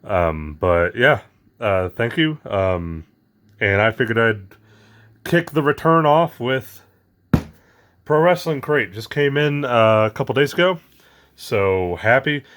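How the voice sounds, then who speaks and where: A man with a hoarse, raspy voice from losing it, chatting casually as the host of an unboxing vlog, rambling with lots of fillers. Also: mid-range voice, animated, slow pace, long pauses, a bit bright. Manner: rambling, lots of ums and uhs.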